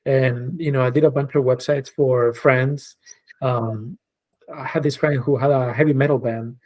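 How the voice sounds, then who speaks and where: A man in his forties who grew up in Spain speaks English as a second language, easygoing and reflective. His thoughts wander with frequent fillers and restarts, and his voice sits at an ordinary middle pitch. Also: fairly even intonation, normal speed, darkish tone, rough recording. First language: Spanish. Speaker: guest, designer and creative director. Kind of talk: casual podcast conversation.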